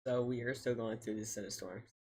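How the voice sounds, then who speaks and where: A man hosting a livestream, chatting casually with viewers in a playfully defensive, bantering manner with a slightly husky voice. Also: mid-range voice, steady tone, a little fast, darkish tone. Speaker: livestream host.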